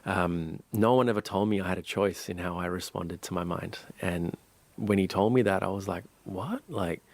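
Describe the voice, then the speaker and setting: Man from Sri Lanka, meditation teacher in an interview; deep voice, unhurried and reflective, dry close studio sound. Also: creaky, dry recording. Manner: calm, thoughtful, many pauses and restarts.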